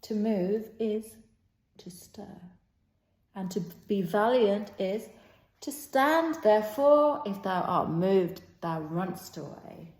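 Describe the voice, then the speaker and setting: A woman's voice: medium pitch, animated, takes it slowly, a little mellow. A woman playing a teasing, sceptical male servant in an old stage play, with a needling, declaimed delivery. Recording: roomy, echoing sound.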